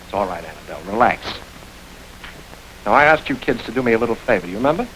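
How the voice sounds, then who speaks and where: An actor playing a smooth, calculating middle-aged gang boss who gives orders in a calm, measured way, in 1930s crime film style. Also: male voice, fairly low pitch, noisy background.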